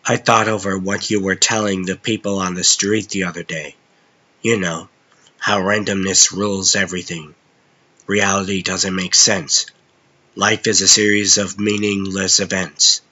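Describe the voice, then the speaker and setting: A man playing a calm, weary costumed vigilante in a comic dub, earnest, understated and matter-of-fact. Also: low voice, unhurried, highs cut off, heavily acted. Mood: resigned.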